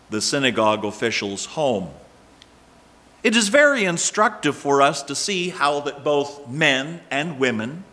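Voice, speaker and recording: Older male pastor, slow deliberate delivery, bright tone, pulpit mic in a church sanctuary. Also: a little high. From Canada. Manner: measured, expressive preaching with emphatic rises. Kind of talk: sermon.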